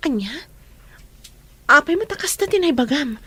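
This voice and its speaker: A woman's voice: sits high, expressive, moderate pace, a bit bright. A woman with an Ilocano accent acting a concerned young best friend in an audio drama. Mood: surprised, worried.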